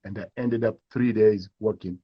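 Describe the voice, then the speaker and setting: Middle-aged man from Kenya, actor guest, husky, mid-pitch, plays out quotes, muffled audio. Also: normal speed. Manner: animated storyteller who acts out quoted voices. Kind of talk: storytelling in a live interview.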